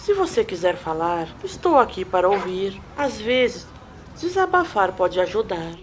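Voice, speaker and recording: A woman playing a caring, calm listener in an audio drama. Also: medium pitch, a little slow, faint music behind. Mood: gentle, reassuring. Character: caring, calm female listener offering comfort.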